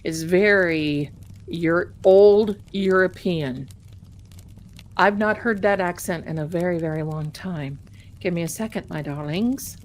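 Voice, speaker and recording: A middle-aged woman casually chatting with her live-stream viewers as a tarot reader, rambling warmly and calling them her darlings. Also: on the low side, unhurried, slight background noise.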